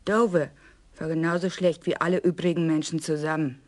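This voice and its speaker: An actress playing a sturdy young Frisian farm wife in a radio crime drama, blunt and plainspoken. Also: female voice, low-pitched, steady tone, moderate pace, lightly acted. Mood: bitter.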